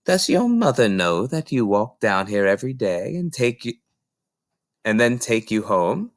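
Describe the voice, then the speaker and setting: A man voicing a kindly, concerned woman librarian with a gentle, encouraging manner in a children's storybook reading. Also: mid-range voice, very animated, a little slow, dry recording, studio quality.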